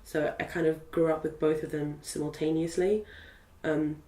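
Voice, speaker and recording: A woman's voice: low voice, flat delivery, moderate pace, warm tone. Young woman from zimbabwe chatting in an interview, lots of ums, sounds far off and roomy. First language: Portuguese. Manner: chatty, lots of fillers and restarts. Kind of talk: casual interview. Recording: roomy sound from a distant mic.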